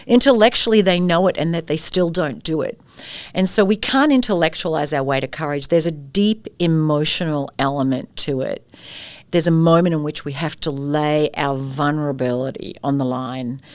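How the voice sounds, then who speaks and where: A woman's voice: sits low, moderate pace, no room sound. A middle-aged Australian woman, a guest expert, telling stories and asking rhetorical questions in a conversational interview. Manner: animated storyteller, rhetorical questions.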